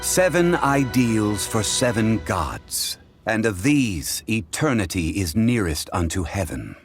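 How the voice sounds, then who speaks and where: An ancient god living as a dignified gentleman in a video game. His voice is low, with lively rises and falls, and his speech is formal, measured and learned. Music plays behind him. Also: full character voice. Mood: grave, reflective. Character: ancient retired god in human form, calm, dignified, erudite. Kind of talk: scripted game cutscene dialogue. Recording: background music under the dialogue.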